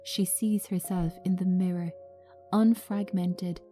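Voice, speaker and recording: A woman's voice: on the low side, steady tone, slow, dark tone, soft background music. A woman narrating a drama script, reading out stage directions.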